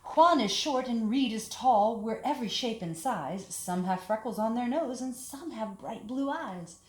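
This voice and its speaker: A woman leading a children's storytime, talking and reading aloud in simple, deliberate phrases meant for young children. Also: fairly high pitch, lively intonation, darkish tone. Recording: echoey room with background hiss.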